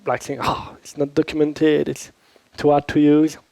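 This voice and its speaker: A man's voice: on the high side, monotone, normal speed, gravelly, warm tone, no room sound, rough recording. French-accented man leading a workshop. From Switzerland. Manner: informal, chatty, addresses audience with questions. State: recovering from a cold. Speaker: workshop instructor. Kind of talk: conference workshop talk. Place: conference workshop room. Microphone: handheld or lapel microphone.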